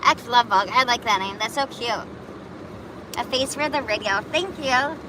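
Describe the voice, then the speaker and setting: A woman's voice: a little high, moderate pace. Chatty woman streaming amid traffic. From Buffalo, New York. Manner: chatty, rambling, reacts to chat comments. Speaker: livestream host. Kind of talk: casual live chat answering viewers.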